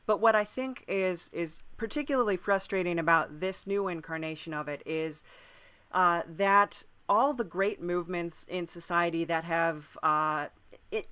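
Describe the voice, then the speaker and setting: A woman's voice: lowish voice, pushed and bright. A woman talking thoughtfully and at length in a conversational podcast discussion. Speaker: podcast speaker.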